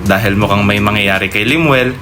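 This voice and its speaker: A man's voice: lowish voice, a little fast. Male radio drama narrator over music. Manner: teasing, addresses listeners directly.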